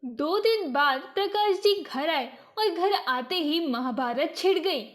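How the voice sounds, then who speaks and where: A woman narrator reading a scripted moral story. Her voice is very high and she tells it slowly, line by line. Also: lively intonation.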